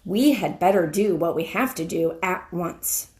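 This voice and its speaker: A woman voicing a sly, practical male dwarf henchman in a storybook, measured and persuasive. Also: on the low side, expressive, darkish tone. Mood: sly counsel.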